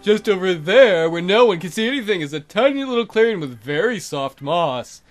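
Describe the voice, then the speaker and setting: A man's voice: sits high, expressive, moderate pace, faint music behind. A man voicing a pushy, self-satisfied male wolf-man suitor in a visual novel, in a declamatory manner. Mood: suave.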